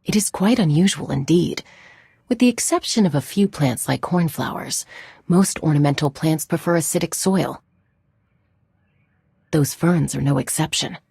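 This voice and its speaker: A woman's voice: sits low, normal speed, gravelly, darkish tone, breathy edge, no echo, clean studio sound, heavily acted. A woman playing a composed, reserved female scholar in video game dialogue, speaking precisely.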